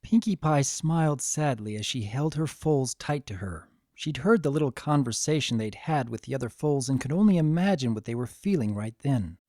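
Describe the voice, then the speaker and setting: A man reading a scripted story as narrator, with a gentle, expressive dramatic delivery. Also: mid-range voice, moderate pace, few pauses, dry recording, clean studio sound.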